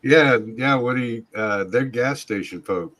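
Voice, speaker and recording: A man's voice: mid-range voice, measured pace, a bit bright, dry recording. A man hosting a live stream, chatting casually in a joking, sometimes profane way. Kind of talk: casual chat. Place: indoors, online live stream.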